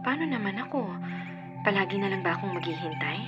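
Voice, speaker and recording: A woman's voice: mid-range voice, animated, quick pace, muffled recording, close to an everyday voice. An actress with a Filipino accent playing a neglected, affectionate young girlfriend in a radio drama, plaintive and pleading. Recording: music under the voice.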